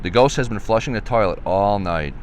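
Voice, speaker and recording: A man's voice: lowish voice, a little fast, faint music behind, close to an everyday voice. A man voicing a nervous, frightened female hippo in a children's storybook. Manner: nervous, fretful.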